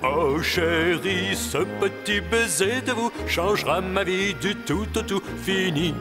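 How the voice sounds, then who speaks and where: A romantic cartoon frog prince, fairly high-voiced and very unhurried. He implores in rhyme over music. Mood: pleading. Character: lovelorn enchanted frog prince, pleading in verse. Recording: music behind the voice.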